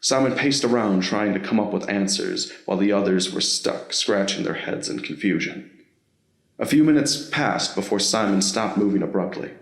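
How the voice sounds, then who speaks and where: Low-voiced man narrating, roomy echo. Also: normal speed, creaky. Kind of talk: scripted story narration. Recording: roomy, echoing voice recording.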